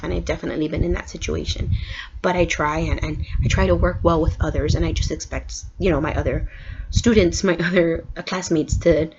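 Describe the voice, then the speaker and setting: A woman's voice: fairly low pitch, gravelly. A young woman from Guatemala introducing herself as a student in a casual video, earnest and full of ums and restarts. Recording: dull lo-fi recording with background noise.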